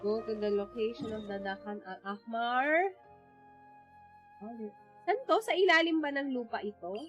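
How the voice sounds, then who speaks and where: A woman's voice: a little high, normal speed, soft background music. A woman with a Filipino accent casually chatting and reacting aloud while streaming a game. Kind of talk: casual live commentary while gaming.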